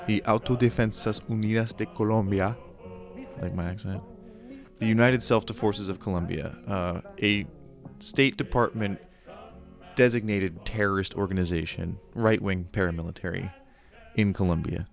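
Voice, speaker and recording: A man's voice: lowish voice, fairly even intonation, normal speed, vocal fry, soft background music. A man hosting a podcast, giving casual, sarcastic commentary with joking asides. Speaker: podcast host.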